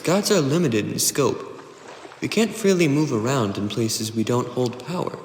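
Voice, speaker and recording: A man plays an earnest god with a medium, very expressive voice. He explains things with regret, in dramatic comic-dub style, through roomy echo and faint noise. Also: medium pitch.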